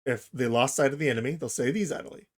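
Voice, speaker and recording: A man narrating a casual software tutorial, explaining things informally, step by step, as its host. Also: mid-range voice, fast, breathy edge.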